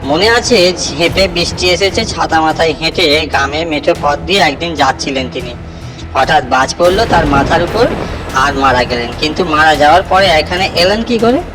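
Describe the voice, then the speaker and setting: A man's voice: on the high side, lively intonation, normal speed, music in the background, close to an everyday voice, poor audio. A man playing a frightened village fisherman who recounts a ghostly night in a suspenseful horror audio story. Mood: fearful, suspenseful.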